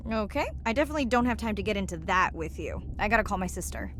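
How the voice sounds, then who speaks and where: A woman playing a warm, earnest and playful young diver and ocean researcher in a naturalistic video game performance. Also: medium pitch, animated, quick pace, noisy background, natural voice. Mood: mildly defensive.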